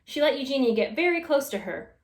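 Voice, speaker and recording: A woman reads a children's storybook aloud in a high, expressive voice. The room around her adds a clear echo, as at a storytime session. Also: fairly quick. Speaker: storytime reader. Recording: roomy echo.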